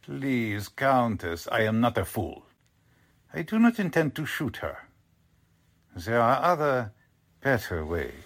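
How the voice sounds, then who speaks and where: An actor playing a cold, contemptuous Nazi spy commander in 1940s radio drama style, husky, deliberate and commanding. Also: male voice, medium pitch, very animated. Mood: menacing.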